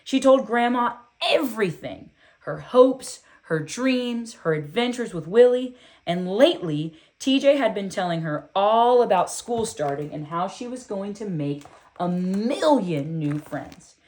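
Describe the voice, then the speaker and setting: A woman reading a children's book aloud in an expressive, deliberate storytelling style with emphatic phrasing. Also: medium pitch.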